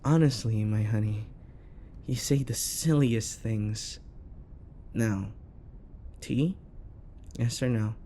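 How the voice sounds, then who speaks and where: A man acting a possessive, jealous yandere boyfriend in an intimate ASMR roleplay, coaxing and passive-aggressive with sweet pet names and quiet menace. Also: lowish voice, slow, pauses often, slight background noise. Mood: saccharine, probing.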